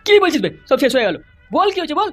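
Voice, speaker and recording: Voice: high-pitched, sing-song, rapid-fire, a bit bright, faint music behind. A performer voicing a blunt, dismissive father in a cartoon, scolding his son. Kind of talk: cartoon voice acting.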